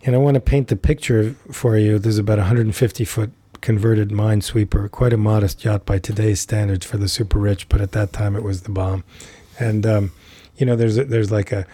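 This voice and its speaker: Middle-aged male guest, warm and slightly husky, lowish voice, dry close mic, rambling storyteller. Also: steady tone, no room sound. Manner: unhurried, thoughtful, many fillers and restarts. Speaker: podcast guest, tai chi teacher. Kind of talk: reflective storytelling in conversation.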